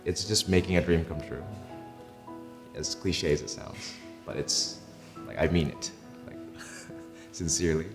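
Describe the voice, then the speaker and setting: A man organizing the event, talking earnestly in a documentary interview, halting with fillers. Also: sits low, steady tone, big-room sound. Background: music.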